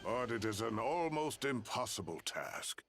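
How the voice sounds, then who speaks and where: An earnest game scholar who explains things articulately. His mid-range voice moves freely up and down and has a gravelly fry at the edges. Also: mid-range voice, big pitch swings, measured pace, a bit bright, full character voice. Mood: grave, emphatic. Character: earnest adult male scholar who studies the ley lines. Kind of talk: video game voice acting, dramatic dialogue.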